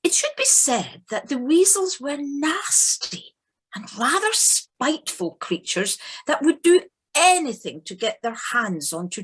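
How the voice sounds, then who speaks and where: A woman's voice: a little high, husky. A woman narrating a children's story from a script, in storybook style. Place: living room at home, recorded over video call.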